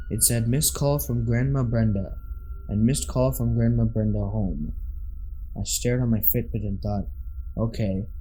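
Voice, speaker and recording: A man's voice: mid-range voice, warm tone, rough recording. A man reading scripted first-person accounts of scary true stories as a narrator.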